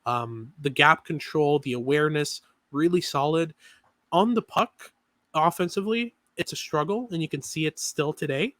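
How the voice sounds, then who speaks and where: A man co-hosting a sports podcast, talking through analysis in a quick, filler-heavy way with many restarts. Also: on the high side, fairly bright tone, no room sound. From Canada. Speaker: podcast co-host.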